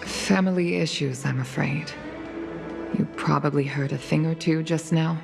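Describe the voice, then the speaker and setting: A woman's voice: low-pitched, fairly even intonation, vocal fry. An actress playing a composed, protective middle-aged veteran pilot mother in a video game, speaking formally and with weariness. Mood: weary, reflective. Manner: formal, measured, gracious. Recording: background music under the voice.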